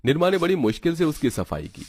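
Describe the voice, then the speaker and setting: A man narrating a cartoon moral story in a steady, even storytelling voice. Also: a little high, quick pace.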